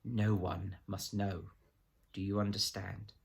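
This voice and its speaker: A man's voice: deep voice, little pitch movement, slow pace, vocal fry, slightly rough, heavily acted. A man playing a prissy, menacing government agent in a story, officious, pompous and precise. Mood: icy threat.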